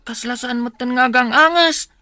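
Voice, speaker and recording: Bright, high anxious youngster voiced by a woman. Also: normal speed, soft background music. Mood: puzzled, dutiful. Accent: northern Philippine. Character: anxious young grandchild, dutiful and puzzled. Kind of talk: radio drama acting.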